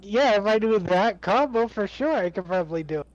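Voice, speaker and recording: A man chatting casually with a streamer, easygoing and low-key, with the odd chuckle. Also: expressive, moderate pace, dry recording, dull audio. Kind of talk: casual chat.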